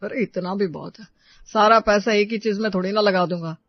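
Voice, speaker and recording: A woman's voice: medium pitch, a little fast, no echo, highs cut off, close to an everyday voice. A woman playing a self-assured, stingy husband who lectures and complains in a domestic moral story. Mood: lecturing. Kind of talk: Hindi moral-story character dialogue.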